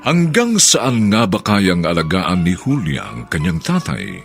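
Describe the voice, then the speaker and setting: A man with a Filipino accent announcing a radio drama in formal, grand scripted narration with a gravelly, husky voice. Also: low-pitched, wildly swooping pitch, unhurried, soft background music.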